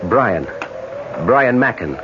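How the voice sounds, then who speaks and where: An actor playing an earnest, startled male traveller in a 1970s radio drama, halting when flustered. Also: mid-range voice, very animated, noisy background. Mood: polite, surprised. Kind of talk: 1970s radio drama acting, dialogue and first-person narration. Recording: dull 1970s radio recording, loud music behind.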